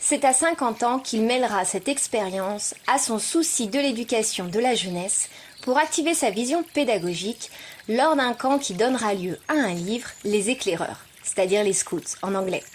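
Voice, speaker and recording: A woman's voice: on the high side, expressive, moderate pace, a little hiss or hum. A woman hosting a podcast, delivering scripted educational narration with playful asides, speaking informally and directly to the listener. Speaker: podcast host.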